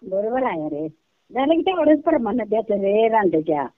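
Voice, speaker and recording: A woman's voice: mid-range voice, expressive, normal speed, no echo. An older woman with a rural Kolhapur Marathi accent chatting casually on the phone in a blunt, earthy, colloquial way. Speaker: prank call recipient, video creator. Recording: narrow, tinny phone line.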